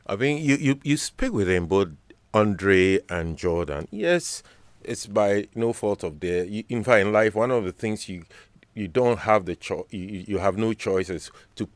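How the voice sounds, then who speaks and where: A Ghanaian man with a Ghanaian English accent, giving measured and earnest analysis as a sports radio pundit and often repeating words while he thinks. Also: fairly low pitch, lively intonation, no echo. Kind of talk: studio sports discussion. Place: radio studio.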